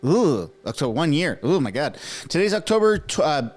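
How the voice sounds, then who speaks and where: A man's voice: fairly high pitch, sing-song, normal speed. A man whose first language is French gives rambling, sarcastic live commentary as a streaming host, chatting directly with his viewers. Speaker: livestream political commentator.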